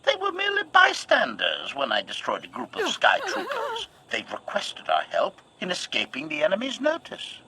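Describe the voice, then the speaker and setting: A man's voice: high-pitched, huge pitch swings, normal speed, few pauses, pushed and bright, breathy edge, heavily acted. A man playing a servile but coolly lethal robot in a video game, speaking formally with robotic processing and announcing each statement with a single word. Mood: defensive, scornful. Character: servile, coolly lethal assassin droid, male.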